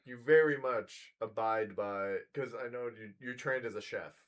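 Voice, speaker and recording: A man in his thirties co-hosting a casual chat, dry and teasing in a low-key way. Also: unhurried. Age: 30s. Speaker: podcast co-host.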